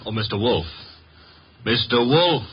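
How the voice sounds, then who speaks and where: A brash young male detective's sidekick played by an actor in a vintage radio mystery. He talks in a breezy, slangy, wry way at a medium pitch. The sound is dull and old. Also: lively intonation, measured pace, a little hiss or hum, lightly acted. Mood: coaxing, breezy. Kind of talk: 1950s radio drama acting. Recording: muffled old radio broadcast.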